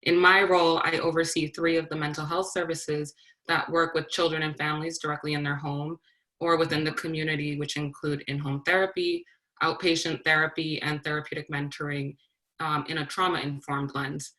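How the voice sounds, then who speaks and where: A woman's voice: low voice, little pitch movement, moderate pace, bright, forceful tone, rough recording. A woman from Massachusetts, a community-based director, introducing herself on a panel in a composed, informative way. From Massachusetts, USA. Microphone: video call.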